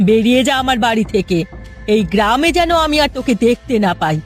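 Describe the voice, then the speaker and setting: A cartoon village mother acted by a woman, pitched fairly high. She is a poor, careworn housewife who speaks her mind, and faint music plays underneath. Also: animated, a little fast, lightly acted. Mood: angry, disgusted. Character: stern, scolding village mother, later a worried poor wife. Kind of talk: cartoon voice acting.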